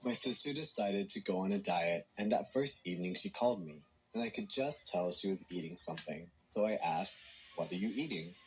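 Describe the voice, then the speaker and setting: Deep-voiced man telling a scripted joke. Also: animated, brisk, darkish tone, faint music behind. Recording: dull shortwave radio broadcast.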